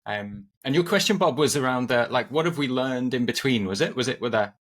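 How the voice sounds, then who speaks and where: A man's voice: medium pitch, animated, a little fast. A middle-aged man from England, a podcast guest talking in a friendly way and often repeating words as he restarts. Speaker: guest, agile coach and author. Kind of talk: casual podcast conversation.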